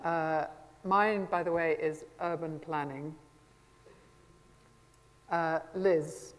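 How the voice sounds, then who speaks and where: A woman chairing a panel discussion at a conference in a brisk, upbeat way. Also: deep voice, steady tone. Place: large conference hall. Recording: echoey conference hall.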